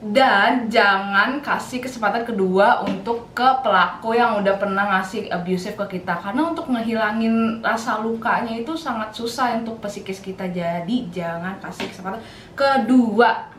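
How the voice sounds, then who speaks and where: A woman's voice: mid-range voice, normal speed, a bit bright. A nineteen-year-old woman student, talking casually in a discussion. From Indonesia. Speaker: university student. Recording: echoey room, faint noise.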